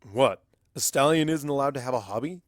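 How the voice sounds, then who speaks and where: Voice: medium pitch, expressive, a little fast, no echo, studio quality, close to an everyday voice. A narrator voicing a scholarly, defensive adult male pony in a storybook reading.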